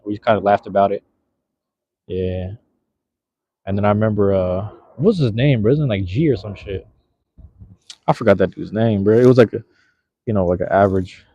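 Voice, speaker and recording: A young man chats loosely with his viewers in easy slang, his voice low-set and mellow. The sound is close and dry, with a slightly dull, lo-fi phone quality. He talks while holding the phone himself. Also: moderate pace, long pauses, slightly rough, dry recording. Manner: laid-back, slangy, rambling. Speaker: livestream host. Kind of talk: casual live chat with viewers. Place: outdoors at night in a park, cold drizzle. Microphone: handheld phone.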